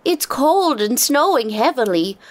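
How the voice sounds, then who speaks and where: A woman voicing a concerned, practical townswoman in an animated fairy tale, insistent and urging. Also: high voice, measured pace, exaggerated voice. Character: concerned, practical female townsperson urging an old man home. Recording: music under the voice.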